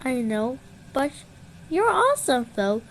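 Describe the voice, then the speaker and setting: A woman improvising playful puppet show dialogue in an excitable, exclamatory way. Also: high-pitched, slow, rough recording.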